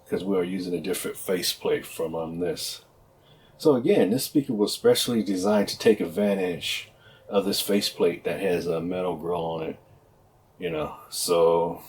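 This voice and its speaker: A man with a low-pitched voice presents a product in a casual, off-the-cuff style. He talks conversationally, often restating his points as he explains. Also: a little mellow. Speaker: product presenter for a loudspeaker maker.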